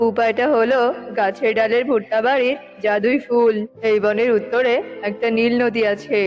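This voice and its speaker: A woman's voice: a little high, fairly even intonation, moderate pace, natural voice. A woman voicing a stern, wise tantric healer in a cartoon, solemn and instructive. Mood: solemn warning. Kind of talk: cartoon dubbing. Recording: dull lo-fi audio with music bed. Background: music.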